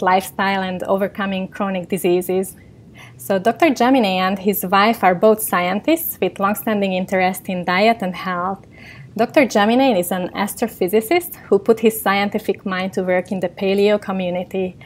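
Woman host, Hungarian native, formal intro. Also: medium pitch. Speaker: podcast host, nutritionist. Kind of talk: scripted introduction then interview.

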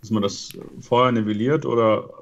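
Matter-of-fact man with a low voice. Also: steady tone, moderate pace. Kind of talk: casual chat. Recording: dull lo-fi call audio.

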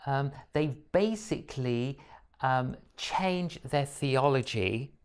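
This is an older man with a medium-pitched voice. He hosts an interview conversationally, choosing his words deliberately. He repeats key phrases for emphasis and readily agrees. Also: animated, smooth. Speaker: interview host. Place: small indoor studio room.

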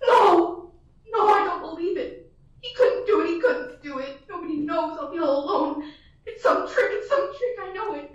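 A student actress playing a frantic, bedridden woman alone at night, shrill, panicky and imperious, in a school radio play. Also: expressive, normal speed, muffled recording. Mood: desperate. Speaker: student actor. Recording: echoey room, voice from far off.

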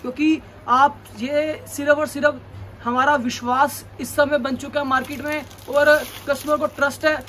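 Young man, shop owner, high voice, insistent sales pitch, indoor shop, faint background hum. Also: flat delivery, normal speed, sharp tone. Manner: insistent, repeats reassurances. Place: large indoor clothing shop.

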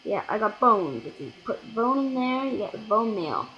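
A let's play gamer giving rambling, self-correcting commentary on a block-building game, with sudden excited outbursts, recorded at a home computer. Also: medium pitch, normal speed, muffled recording, background noise. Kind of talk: casual gameplay commentary.

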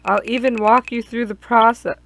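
Higher-voiced woman on a game stream. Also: steady tone, normal speed, gravelly, a bit bright, highs cut off, a little hiss or hum. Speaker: player in a group gaming stream. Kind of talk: reading visual novel dialogue aloud and casual chat.

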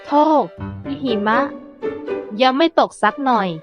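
A woman voicing a carefree, playful grasshopper in an expressive children's fable. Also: sits high, takes it slowly, music in the background. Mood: teasing, coaxing. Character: carefree, playful grasshopper who loves fun.

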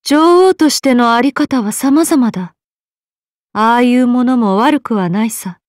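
A woman's voice: on the high side, measured pace, a little mellow, no echo, studio quality, natural voice. A woman playing a regal goddess queen in an anime game, lofty and old-fashioned in speech. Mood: wistful, regal. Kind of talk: anime mobile game voice acting.

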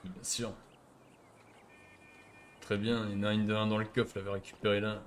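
A man's voice: fairly low pitch, little pitch movement, normal speed, a little hiss or hum. A man improvising casual game roleplay as a crime boss, bossy and slangy, with swearing.

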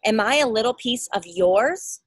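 A woman reads as a tiny storybook creature, a little male piece searching for where he belongs. The voice is high and animated, earnest and questioning, spoken without hurry. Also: a little slow, husky, no echo, clean studio sound.

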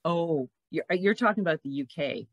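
Low-voiced, animated woman podcast host. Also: moderate pace, no echo, dull audio. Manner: chatty, laughs often, many short acknowledgements. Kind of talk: casual interview chat. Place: indoor room, video call. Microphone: headset on video call.

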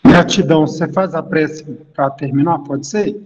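A man's voice: fairly high pitch, moderate pace, big-room sound, highs cut off. A man casually hosting an online meeting over a video call. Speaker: meeting host.

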